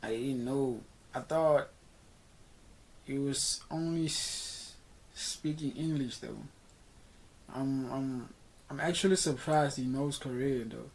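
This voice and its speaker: A man's voice: mid-range voice, takes it slowly, long pauses, a little mellow, poor audio. A man, giving laid-back, slangy reaction commentary. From South Africa. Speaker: reaction video host.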